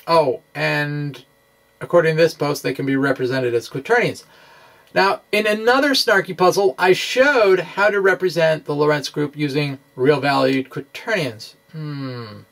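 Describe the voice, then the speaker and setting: Slow-talking man giving an informal science lecture. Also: fairly high pitch. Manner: halting, thinking aloud, self-deprecating. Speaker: presenter of a physics video blog.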